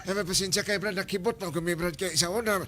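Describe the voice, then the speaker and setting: A man playing a gossipy, teasing young buddy in a live comedic audio drama, excitable and repeating his words. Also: high voice, quick pace, background noise, natural voice. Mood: apologetic. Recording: loud music under the voice.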